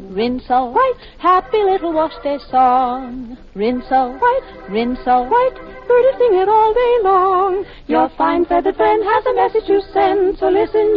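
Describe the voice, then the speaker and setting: Cheerful little bird, extremely high voice, slow sing-song pace, 1940s radio ad, music behind, dull recording. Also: female voice, full character voice. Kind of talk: 1940s radio advertising jingle.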